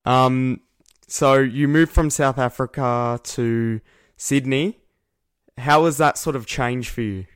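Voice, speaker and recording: A man's voice: medium pitch, fairly even intonation, takes it slowly, clear, resonant tone, no echo. A man interviewing a guest in a casual chat, asking easygoing, encouraging questions.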